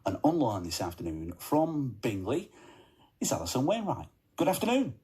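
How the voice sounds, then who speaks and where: A man with a mid-range voice hosts a local radio interview from the studio. He asks his questions in an upbeat way, now and then pausing on an "uh". His tone stays friendly and conversational. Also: mid-range voice, wildly swooping pitch, mellow. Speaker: radio presenter. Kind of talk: drive-time radio interview.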